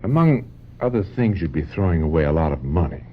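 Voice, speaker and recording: A manipulative film producer in a radio mystery, with a deep voice that rises and falls dramatically. He leans on the listener like a domineering husband. The recording is dull, with slight noise. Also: very animated, fairly quick. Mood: veiled threat. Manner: pressuring, swinging from coaxing to commanding.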